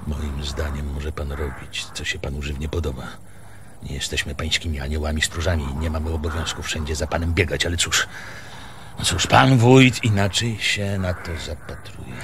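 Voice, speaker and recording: An actor in a radio play as a pompous, officious male village schoolteacher, speaking formally and pedantically in a husky voice. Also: extremely low pitch, steady tone, moderate pace, background noise. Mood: haughty, grudging. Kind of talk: Polish radio theatre acting.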